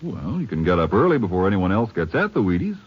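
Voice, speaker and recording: A man working as a commercial announcer, giving an upbeat sponsor pitch in 1950s radio style. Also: big pitch swings, fast talker, breathy edge. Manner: upbeat salesmanship. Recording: muffled old radio recording.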